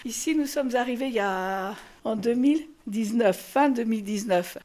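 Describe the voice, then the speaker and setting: Female artist guest, higher voice, casual, halting explanations with repeated words. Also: a little mellow. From France. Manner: hesitant, often repeating words as she explains. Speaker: puppet maker and artist, interview guest. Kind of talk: casual interview.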